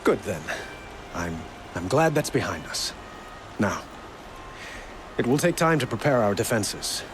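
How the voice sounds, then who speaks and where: Low-voiced, earnest young king. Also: big pitch swings, measured pace, background noise. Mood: sincere, contrite. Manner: formal, careful, sincere. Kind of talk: video game cutscene acting.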